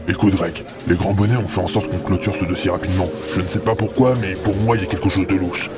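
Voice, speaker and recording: Man acting low-voiced, confiding detective's pal. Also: fairly even intonation, fast, loud music behind the voice, lightly acted. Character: world-wise police contact, frank, confiding old pal. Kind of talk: French audio drama dialogue.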